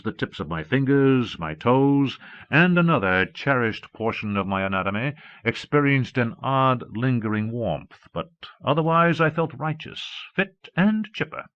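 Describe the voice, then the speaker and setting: A man's voice: mid-range voice, big pitch swings, a little slow, dry recording. A man reading a novel aloud as a scripted first-person audiobook narration. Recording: muffled old cassette audiobook.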